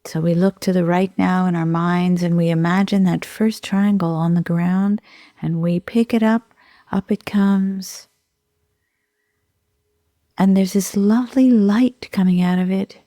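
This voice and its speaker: A middle-aged woman leading a guided meditation in a soothing, unhurried manner with gentle pauses. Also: on the low side, steady tone, no room sound, clean studio sound. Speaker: sound healer and meditation guide.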